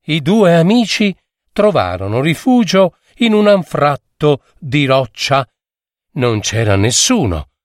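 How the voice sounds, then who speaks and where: A man narrating a fairy tale in a theatrical, deliberate way, with dramatic pauses between phrases. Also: mid-range voice, no echo, clean studio sound. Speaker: storyteller, adapter and reader of fairy tales.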